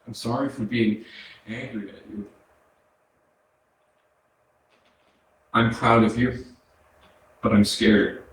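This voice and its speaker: A man's voice: fairly low pitch, level tone, a little slow, fairly bright tone. A man in a staged play reading as the ghost of a brother who died young, speaking earnest, poetic monologue in a grieving, tender way. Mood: sorrowful, scared. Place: small arts centre stage, recorded from a distance. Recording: echoey theatre hall, distant mic, faint noise.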